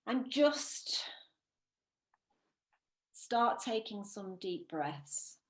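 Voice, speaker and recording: Slow-paced woman guiding meditation in roomy echo. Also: medium pitch, sing-song, in a whisper. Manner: soothing, unhurried, with long pauses. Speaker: meditation teacher. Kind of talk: guided visualisation, spoken meditation. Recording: roomy, echoing sound.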